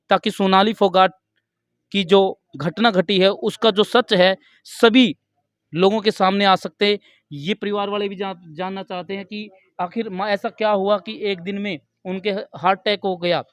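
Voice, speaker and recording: A man's voice: sits high, fairly even intonation, normal speed, clean tone, no room sound, studio quality. A man reporting and asking questions in an informal local news field interview.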